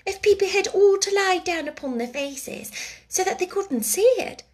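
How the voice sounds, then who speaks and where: A woman's voice: very high voice, expressive, moderate pace, voice pushed up. A woman voicing a polite, plucky and self-possessed young girl in a storybook, speaking with decision. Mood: private reasoning. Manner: polite yet decided.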